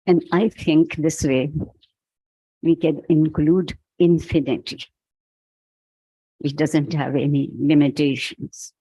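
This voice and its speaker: Slow, measured Pakistani man, mellow tone. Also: a little high, steady tone, husky, no room sound, low-quality recording. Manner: slow, measured, philosophical, halting. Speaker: keynote speaker. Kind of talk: reflective keynote talk. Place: event hall.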